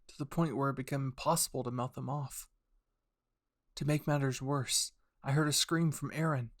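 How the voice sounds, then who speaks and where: A man reads dramatic fiction with an intense delivery. His voice sits at a middling pitch, recorded close and dry with hardly any room sound. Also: no echo. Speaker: fan fiction narrator. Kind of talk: dramatic scripted story narration.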